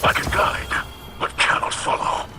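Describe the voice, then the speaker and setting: A man voicing a solemn alien sacred guide in a breathy, rasping growl, measured and formal, for a video game. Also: natural voice. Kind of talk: video game cutscene acting. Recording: loud music behind the voice. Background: loud game score.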